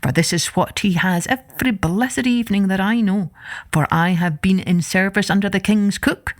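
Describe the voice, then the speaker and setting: A woman's voice: medium pitch, moderate pace, close to an everyday voice. A woman voicing a wily male tramp in a children's folktale, musing and coaxing with wise little sayings. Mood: grandly persuasive. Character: wily male tramp, smooth-talking sly trickster.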